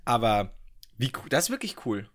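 A young man co-hosting a casual podcast, playful and excitable, often restarting his sentences. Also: mid-range voice, normal speed. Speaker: podcast co-host. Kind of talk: casual chat.

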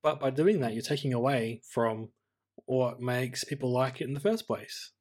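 A man's voice: medium pitch, normal speed, darkish tone. A man co-hosting a casual film review chat in a thoughtful, slightly tentative way. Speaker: podcast co-host.

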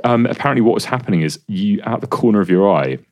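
A man's voice: fairly low pitch, animated, fast talker, a little mellow. A man, an activist guest, telling a rambling, sweary story with lots of fillers. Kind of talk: casual podcast conversation.

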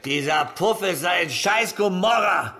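An actor playing a drunken, boastful, crude gunman in a German western audio drama, slurring, leering and shouting taunts. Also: sits high, lively intonation, unhurried, full character voice. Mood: wrathful ranting. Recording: loud music and saloon crowd behind.

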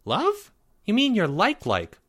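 Man voicing a squeaky, anxious little boy. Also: huge pitch swings, a bit bright, breathy edge, full character voice. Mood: alarmed, protesting. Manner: stammering, halting. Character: timid anxious young boy, loyal friend.

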